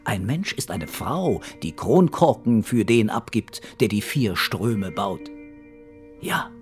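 Low-voiced wry detective guy, calm but relentless, accusing, radio crime play. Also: soft background music. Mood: quietly insistent. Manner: deliberate, ironic, accusing. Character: calm, wry, relentless male police investigator. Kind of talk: German radio crime drama acting.

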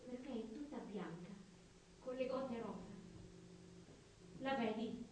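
A tender, childlike woman in a stage play, her voice high and slow to unfold. She speaks in wistful, halting phrases, dreamy and simple, heard from a distance in a very reverberant theatre. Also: noisy background. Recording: very echoey theatre stage from afar, music.

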